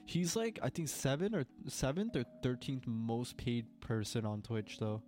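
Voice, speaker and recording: A man's voice: mid-range voice, big pitch swings, unhurried, darkish tone, faint music behind. A young man with a cold, congested, chatting casually as a podcast co-host and rambling with lots of filler words. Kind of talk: casual chat.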